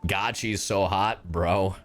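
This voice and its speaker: A man livestreaming a game, reacting casually to his chat in an excitable, slangy, foul-mouthed way. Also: low-pitched, slow, faint music behind.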